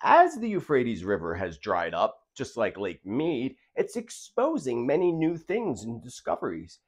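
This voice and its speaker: A man's voice: mid-range voice, huge pitch swings, measured pace. A man hosting a video, speaking earnestly and emphatically straight to his viewers in commentary style. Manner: earnest, emphatic, rhetorical questions. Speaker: host of a prophecy video channel.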